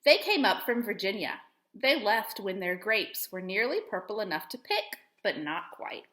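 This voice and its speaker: Woman, teacher read-aloud, very animated intonation, expressive storytelling, echoey room. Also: mid-range voice, normal speed. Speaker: teacher reading aloud to pupils.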